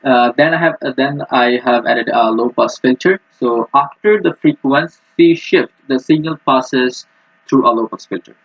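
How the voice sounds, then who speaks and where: A man giving a technical walkthrough as a student presenter, speaking in a halting, hesitant way. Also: medium pitch. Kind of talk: technical screen-recording walkthrough. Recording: muffled, roomy lo-fi microphone.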